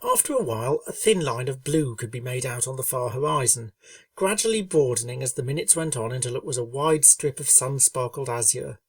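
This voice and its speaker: A woman reading a story aloud in a calm, steady narrating voice. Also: rumbling low, fairly quick, no room sound, far from the mic. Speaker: fanfiction reader.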